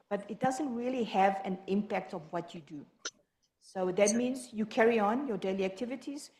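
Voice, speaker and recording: A woman's voice: mid-range voice, a little mellow, slight background noise. A woman gives a support group talk, hurrying through her points and often restarting sentences. She speaks as a health professional in an echoey meeting room. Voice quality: quick, halting delivery with many restarts.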